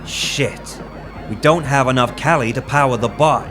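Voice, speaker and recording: A man's voice: mid-range voice, measured pace, lightly acted. A man playing a laid-back young tech tinkerer with a casual, colloquial manner in an audio drama. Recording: music behind the voice.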